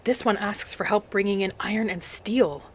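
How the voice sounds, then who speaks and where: A woman voicing a vengeful young orphaned vampire hunter, narrating a video game in character with earnest drama and breathy asides. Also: mid-range voice, moderate pace, a little hiss or hum, close to an everyday voice. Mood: determined. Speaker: roleplay gamer narrating in character. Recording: music behind the voice. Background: game music.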